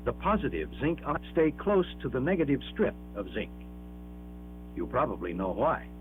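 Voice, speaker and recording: Man narrating a dull-sounding 1960s classroom film. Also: mid-range voice. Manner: measured, explanatory, emphatic, with rhetorical questions.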